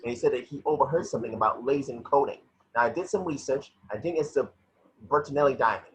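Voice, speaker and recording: A man's voice: medium pitch, fast talker, lightly acted. A man playing a dogged, defiant woman police detective in an amateur online table read. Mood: insistent. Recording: muffled video-call audio with noise.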